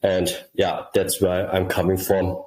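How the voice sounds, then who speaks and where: Low-voiced man with a German accent, roomy sound. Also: monotone, darkish tone. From Laos. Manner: halting, many repeated words. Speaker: guest, project creator. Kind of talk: casual interview talk.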